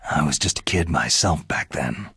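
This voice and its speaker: A man playing a gruff, skeptical veteran soldier in a video game, terse and questioning, with a gravelly rasp. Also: a bass voice, lively intonation, moderate pace, fairly bright tone, no echo, clean studio sound, heavily acted. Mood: grim, probing. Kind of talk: video game cutscene acting.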